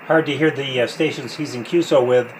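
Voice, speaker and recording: A man's voice: medium pitch, fairly quick. A man from the United States speaking casually as an amateur radio operator, commenting and giving phonetic call signs. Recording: faint background radio static.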